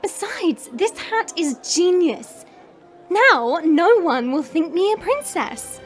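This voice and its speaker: Girl voicing a tiny haughty princess, playful n naive, really high and bouncy. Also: slow pace, faint music behind, heavily acted. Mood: proud delight. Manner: teasing, proud, expressive.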